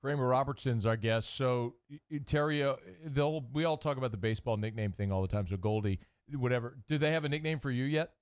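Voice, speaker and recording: A man's voice: mid-range voice, fast, clear, resonant tone. A male sports show host in casual chat, teasing and ranting at his co-hosts.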